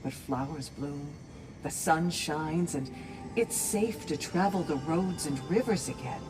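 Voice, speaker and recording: An actress playing a composed, earnest female warrior in a video game, speaking in a measured, solemn, articulate way. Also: low voice. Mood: hopeful. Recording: distant from mic, music behind.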